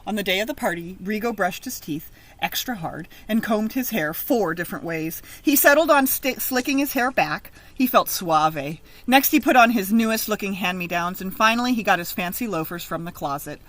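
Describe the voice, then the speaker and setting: Lady reading a kids picture book out loud, bright clear-cut teacher delivery, expressive. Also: medium pitch, moderate pace. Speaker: storybook reader, teacher. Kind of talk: expressive children's read-aloud.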